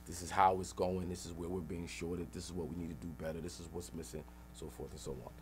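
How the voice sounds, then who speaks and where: A man's voice: deep voice, level tone, fast talker, a little hiss or hum. A middle-aged man telling stories in an emphatic, streetwise, slangy way as a radio interview guest. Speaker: interview guest, rapper and activist. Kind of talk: animated storytelling in a radio interview.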